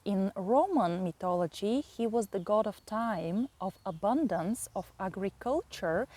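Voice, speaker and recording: A woman with a Bulgarian accent, a native Bulgarian speaker, explaining astrology and mythology with many pauses and fillers. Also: mid-range voice, dark tone. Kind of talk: slow explanatory astrology talk.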